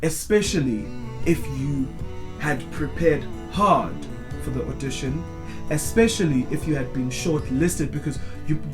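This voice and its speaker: A man's voice: a little high, animated, a little slow. A young South African man with a big, broad voice, talking openly and expressively in a personal vlog, with many restarts. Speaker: vlogger. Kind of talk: candid personal vlog monologue. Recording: music playing clearly behind. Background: guitar music.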